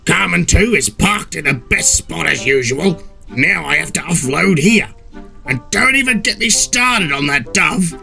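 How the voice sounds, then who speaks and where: A man's voice: on the high side, expressive, measured pace, gravelly, bright, forceful tone, voice pushed up, full character voice. A man voicing a sour old fishing trawler in a children's story, grouching and sneering as he complains, with music behind. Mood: bitter complaining. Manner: grouching, snapping, scornful complaining.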